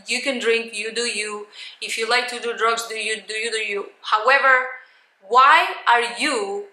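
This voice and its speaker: A woman giving a motivational coaching talk to camera in a loud, emphatic, blunt manner. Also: fairly high pitch, fairly even intonation, unhurried, roomy sound, poor audio. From Cuban. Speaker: life coach, video host.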